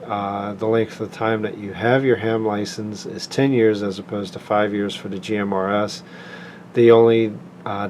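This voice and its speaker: A man giving a casual, plain-spoken product demonstration in an informal walkthrough style. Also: lowish voice. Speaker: product reviewer. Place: indoors, home tabletop recording. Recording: clear steady air-conditioning room noise.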